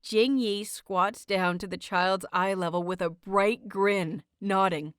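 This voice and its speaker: A woman hosting and narrating a fiction audiobook in an expressive, carefully enunciated storytelling style. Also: medium pitch, slow pace, clean tone, no echo, studio quality. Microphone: close microphone, dry recording.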